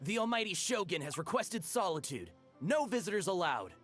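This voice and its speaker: A dutiful gate guard, stiff and officious. His voice is a little high and swings widely in pitch, with faint music in the background. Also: sing-song, brisk, a bit bright. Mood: stern. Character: stern palace gate guard, man, dutiful. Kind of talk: game cutscene voice acting.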